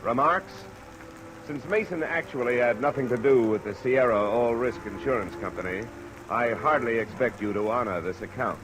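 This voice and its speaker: A man's voice: mid-range voice, normal speed, lightly acted. An actor playing a world-weary male insurance investigator in old-time radio drama, with a clipped, wry delivery. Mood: weary, dry. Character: world-weary, hard-boiled male insurance investigator narrating his cases. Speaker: detective lead and narrator. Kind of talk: 1940s-50s radio detective acting and narration. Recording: old radio recording under loud rain noise.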